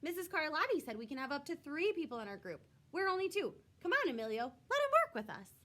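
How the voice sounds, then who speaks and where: A woman plays a friendly young schoolboy from a children's storybook. The voice is high and bright, with very lively swings in pitch. He sounds upbeat and keen to bring everyone in. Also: fast. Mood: earnest, pleading. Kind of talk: children's picture book read-aloud.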